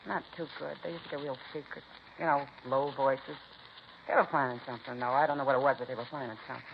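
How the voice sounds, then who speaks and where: An actress playing a bitter, blunt working-class wife who complains in slangy speech, in 1950s radio drama style. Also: female voice, very deep voice, steady tone, quick pace, gravelly. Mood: grudging, plain. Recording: muffled, noisy 1950s radio recording.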